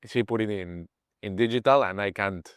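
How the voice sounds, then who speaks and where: A man in his twenties from Mexico with a Mexican Spanish accent, speaking English as a second language, halting and searching for words as an interview guest. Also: fairly low pitch, clean tone, no echo, studio quality. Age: 20s. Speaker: guest, page owner. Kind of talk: casual interview chat.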